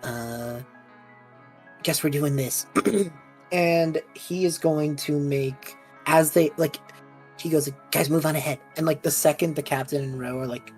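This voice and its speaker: A woman's voice: low-pitched, a little slow, darkish tone, soft background music. A woman playing a tabletop game, describing her character's moves in a loose, slangy, conversational way. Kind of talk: casual narration of own character's actions.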